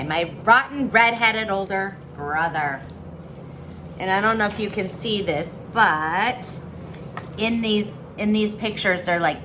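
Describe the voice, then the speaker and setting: A woman teaching on a video call, reading aloud and chatting with children in an expressive, encouraging way. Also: mid-range voice, noisy background. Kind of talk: online storybook read-aloud with casual chat.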